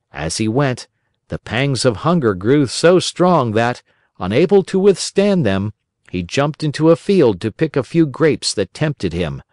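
Expressive male storybook narrator. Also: medium pitch, no room sound, clean studio sound. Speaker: audiobook narrator. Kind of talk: scripted children's story narration.

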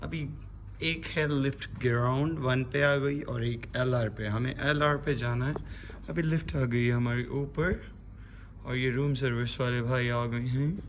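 A man's voice: mid-range voice, steady tone, measured pace, in a large hall. A young man, chatting playfully as he vlogs a hotel tour on a handheld phone. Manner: chatty, playful, joking with his friend. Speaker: vlogger. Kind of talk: casual vlog narration. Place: hotel corridors and gym, indoors. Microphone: phone camera held by hand. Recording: dull phone audio with noisy background.

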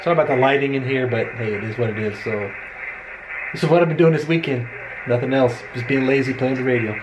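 Middle aged american guy, hobbyist showing stuff, laid back n rambly, medium pitch. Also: fast, noisy background. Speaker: amateur radio hobbyist demonstrating software. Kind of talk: casual walkthrough narration.

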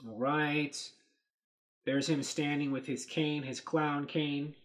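A man in his thirties, hosting a casual commentary on movie set photos, thinking aloud with lots of fillers, recorded at a desk webcam in a small dark room. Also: a little high, flat delivery, slow pace. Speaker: host.

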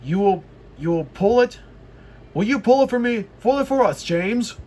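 Kindly, commanding gentleman railway boss man reading, storybook style, animated high voice, music behind. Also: normal speed. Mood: requesting.

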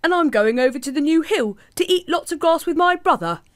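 A woman's voice: high voice, expressive, a little fast, no echo, studio quality, pitched above the performer's own voice. A woman voicing the middle billy goat in a storybook, speaking in a raised, pleading voice. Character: middle billy goat, male, not timid.

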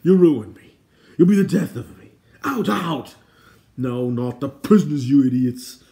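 An irritable little dwarf king from a fantasy storybook, acted by a male reader, blustering loudly and imperiously, with drawn-out, exasperated emphasis. He sounds permanently put upon. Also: lowish voice, close to an everyday voice. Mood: furious despair.

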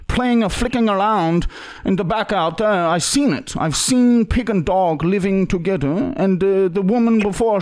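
A man's voice: high-pitched, darkish tone. A young man voicing a pushy foreign man with broken English on a prank call, full of hesitant fillers. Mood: insistent. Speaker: prank caller. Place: small studio room indoors. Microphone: podcast mic on boom arm.

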